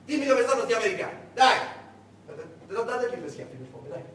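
A man's voice: very high-pitched, very animated, quick pace, pitched above the performer's own voice, heavily acted. A man playing a menacing wartime interrogator who cajoles and threatens in a theatrical monologue. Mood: coaxing menace. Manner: cajoling, threatening, building to shouting. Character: menacing interrogator, falsely friendly then enraged, wartime soldier. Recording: very echoey stage, distant mic, faint noise.

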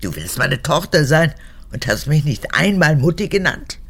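A woman's voice: very animated, normal speed, a bit bright, heavily acted. An actress playing a capricious, manipulative old woman, an unrepentant former camp guard, in a radio drama, with theatrical swings between whispers and outbursts. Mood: defiant, peevish. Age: older. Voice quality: husky, gravelly, low.

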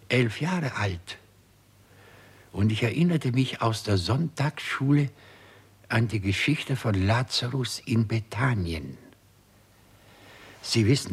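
An actor playing a brooding, obsessed doctor in a 1960s radio crime drama, with a husky voice and a solemn, deliberate delivery. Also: male voice, on the low side, heavily acted. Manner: slow, deliberate, solemn storytelling. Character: brooding, obsessive male doctor experimenting on raising the dead.

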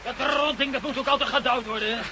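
An actor playing a resigned, grumbling member of a jungle expedition in a radio play, speaking colloquially and hesitantly. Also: male voice, very high-pitched, steady tone, fast, noisy background.

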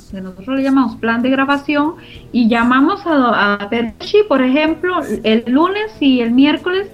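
Female guest, higher-pitched, conversational explainer; muffled lo-fi sound. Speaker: interview guest, radio drama producer. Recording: dull lo-fi audio, music behind. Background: clear background music.

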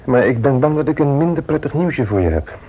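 An actor playing a troubled, reserved married man in a radio drama, speaking in a halting, guarded way. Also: medium pitch, expressive, slightly rough, phone-quality sound. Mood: sorrowful, hesitant.

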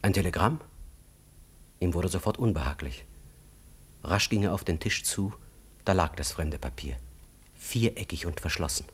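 A man narrating a literary radio play in an expressive, dramatic storytelling style. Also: sits low, moderate pace, pauses often, husky, full character voice. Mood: uneasy, hushed. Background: music.

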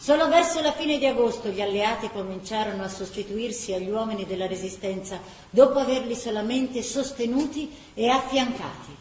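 An actress playing a serious chronicler, formally reciting wartime history for radio theatre. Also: female voice, on the high side, a little fast, darkish tone, natural voice. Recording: echoey room, faint background noise.

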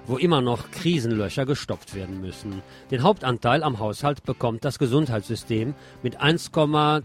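Male radio news host, mid-pitched, scripted delivery with sardonic informal asides, guitar music underneath. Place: radio programme.

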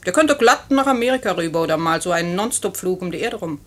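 An actress playing a brisk, efficient and pert telephone operator in 1950s German radio drama style. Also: female voice, on the low side, expressive. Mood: pert, teasing. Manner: clipped, businesslike on calls, pert in asides.

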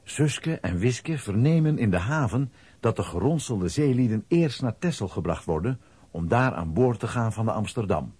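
A man narrating a children's radio play as an expressive storyteller reading a script. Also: lowish voice, moderate pace, slight background noise.

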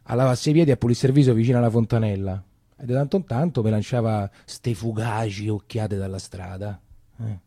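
An actor playing a weary, chatty Roman coffee-shop keeper, rambling and repeating himself in a colloquial theatrical monologue with a Roman accent. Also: male voice, medium pitch, warm tone, no echo, studio quality, close to an everyday voice. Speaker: stage actor.

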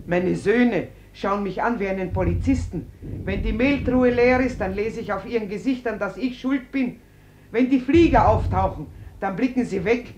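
A woman's voice: mid-range voice, close to an everyday voice. An actress playing a defiant, embittered middle-aged mother in a radio drama, argumentative and emphatic. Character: defiant, embittered mother refusing her sons to war. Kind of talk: German stage-like radio drama acting. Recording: noisy old radio drama recording.

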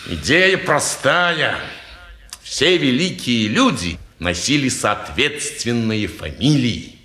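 A man's voice: medium pitch, big pitch swings, slow, pushed and bright, full character voice. An actor playing a pompous, touchy petty townsman who philosophizes, in 1950s Russian stage style. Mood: musing, mocking. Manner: ponderous, self-important, sententious. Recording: roomy old radio theatre recording.

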